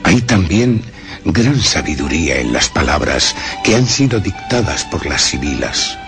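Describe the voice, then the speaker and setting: Very deep, solemn Castilian male storyteller. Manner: grave, theatrical, emphatic phrasing with dramatic pauses. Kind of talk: dramatic radio drama narration of a horror tale. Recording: music under the voice.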